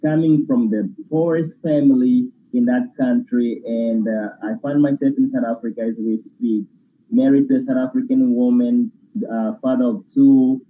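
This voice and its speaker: A man with an Angolan Portuguese accent, whose first language is Portuguese, talks as a grateful, effusive guest. His voice comes over a thin, phone-like line with a faint hiss behind it. He sits at a middle pitch for a man. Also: steady tone, normal speed. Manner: effusive, grateful, laughs while talking. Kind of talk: casual interview chat.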